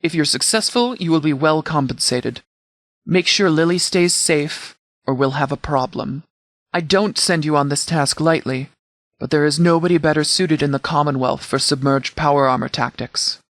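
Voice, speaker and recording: Game sheriff played by a male actor: disciplined, protective leader, slightly high male voice, measured military briefings, very dry recording. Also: dry recording, natural voice. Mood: guarded concern. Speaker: sheriff and commander. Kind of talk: scripted video game dialogue.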